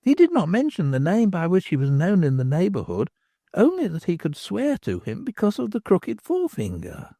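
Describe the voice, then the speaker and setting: A woman's voice: on the low side, huge pitch swings, normal speed, mellow, no echo, studio quality, pitched above the performer's own voice, heavily acted. A reader voicing a grave young woman who explains things in a formal, careful way. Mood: earnest.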